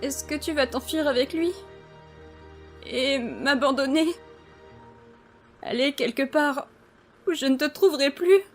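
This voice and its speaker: A woman's voice: high-pitched, a little slow, pauses often, faint music behind. A woman voicing a sullen, wounded teenage demon girl with a sulky manner, in a cartoon fan dub. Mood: hurt. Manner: sulky, hurt. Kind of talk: animated series fan dub.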